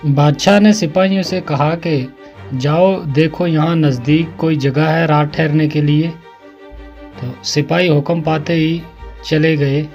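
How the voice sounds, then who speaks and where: A man tells a scripted moral story. His voice is pitched a bit higher than most men's. Music runs behind his narration. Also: darkish tone, dull audio.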